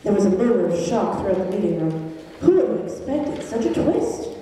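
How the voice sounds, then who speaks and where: Female narrator, soft dark tone, amateur comedy reading, distant reverberant recording with faint hiss. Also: mid-range voice, sing-song, a little fast. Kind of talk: amateur dramatic reading of comedy fan fiction. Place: large echoey room, school club event. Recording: echoey hall from far off, hissy.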